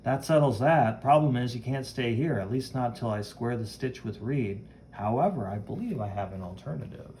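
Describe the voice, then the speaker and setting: Young comic-book hero read by a man: cocky, chivalrous, dramatic, animated delivery. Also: medium pitch, normal speed, few pauses, a little hiss or hum, natural voice. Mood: earnest. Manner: brash, dramatic, read-aloud comic narration. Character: cocky, chivalrous young male superhero who wields flame.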